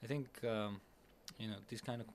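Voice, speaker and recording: A man's voice: on the low side, steady tone, moderate pace, a little hiss or hum. A young man hosting a casual podcast interview at a conference, enthusiastic, with many fillers and restarts. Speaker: podcast host. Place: busy conference hall.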